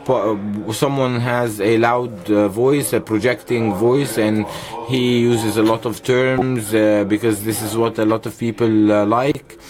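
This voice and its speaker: A man with an Egyptian accent interpreting a conference panel into English simultaneously, halting often and correcting himself mid-phrase. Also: lowish voice, fairly even intonation, a crowd in the background. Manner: halting, many pauses and self-corrections while keeping up. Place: conference hall interpreting booth.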